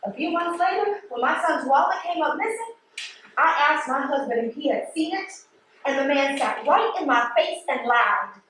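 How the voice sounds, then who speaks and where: A woman acting a self-doubting postal supervisor in a stage play, with a very high voice. She is weary and confessional, halting as she reflects. The sound is strongly echoing and picked up from a distance. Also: animated, fairly bright tone. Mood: regretful, reflective. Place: theatre stage. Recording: roomy stage, distant mic, faint noise.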